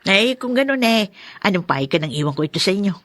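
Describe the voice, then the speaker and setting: A woman's voice: medium pitch, very animated, quick pace, a bit bright, no room sound, clean studio sound. A woman acting an eager, gossipy, slightly evasive neighbour in a Filipino radio drama, with a Filipino accent. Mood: persuasive.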